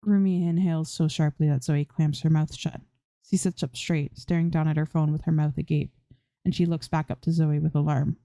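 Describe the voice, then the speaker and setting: Female narrator for story reading, low and soft-edged, dry close recording. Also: little pitch movement, moderate pace, no echo. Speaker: fan fiction reader.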